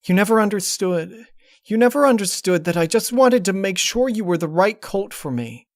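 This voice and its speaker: A man's voice: high-pitched, animated, moderate pace, dry recording, studio quality, pitched above the performer's own voice. A man voicing a distraught, controlling young female pony princess in a storybook reading, with a pleading, emotionally strained delivery. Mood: upset, pleading. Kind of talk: dramatic storybook character reading.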